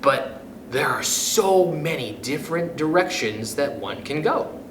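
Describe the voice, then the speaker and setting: Slow, animated man reading to kids. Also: on the high side, background noise. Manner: expressive, playful, chuckles between passages. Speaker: youth club storyteller. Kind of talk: children's picture book read-aloud. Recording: echoey room.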